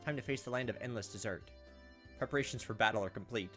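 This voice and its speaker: Guy doing a visual novel lets play, talks quick, jokey comments, soft game music behind him. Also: on the low side. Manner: jokey asides and reactions. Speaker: gaming video host. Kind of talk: casual let's play, reading visual novel text aloud with offhand commentary.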